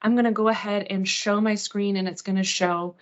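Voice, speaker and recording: A woman hosting a live software training webinar in an informal, self-deprecating, reassuring way. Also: mid-range voice, steady tone, brisk. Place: online video meeting. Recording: dull lo-fi online meeting audio.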